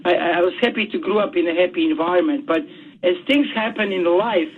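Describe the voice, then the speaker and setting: A man's voice: sits high, a little hiss or hum. Man on a tinny phone line. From Bosnia. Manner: halting, repeats words often while searching for them. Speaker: author guest. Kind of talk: radio interview by phone.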